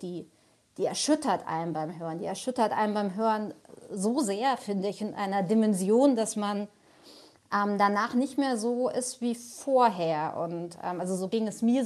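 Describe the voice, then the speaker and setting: A woman's voice: medium pitch, darkish tone. A woman speaking as a jury member, giving a reflective tribute in earnest, searching phrases.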